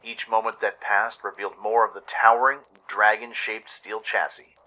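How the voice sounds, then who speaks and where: A man's voice: on the low side, level tone, moderate pace, pushed and bright, muffled recording. A man narrating a scripted adventure story dramatically, as in a radio play. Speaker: narrator and radio show host.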